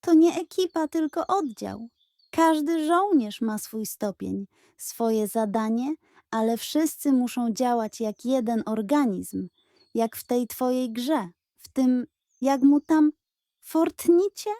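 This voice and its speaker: A woman's voice: fairly high pitch, expressive, slow, warm tone, no room sound, studio quality. A woman voicing a kindly, patient old grandfather who explains things earnestly in a children's story. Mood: cheerful.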